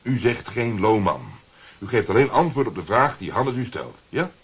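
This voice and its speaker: An actor playing a brisk, patronising radio director who instructs and corrects with some impatience, in a radio play. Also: male voice, lowish voice, big pitch swings, husky, dull audio, exaggerated voice. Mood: impatient, correcting.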